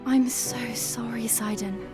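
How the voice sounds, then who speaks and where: A man voicing a gentle, kind young princess in a soft falsetto, slow and careful. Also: steady tone, over music. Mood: consoling.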